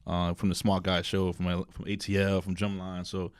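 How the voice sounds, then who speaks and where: NY man, podcast co-host, low and easygoing, dry close sound. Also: monotone, moderate pace, clean tone, no room sound. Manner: laid-back, hesitant, repeats words while thinking. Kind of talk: casual chat.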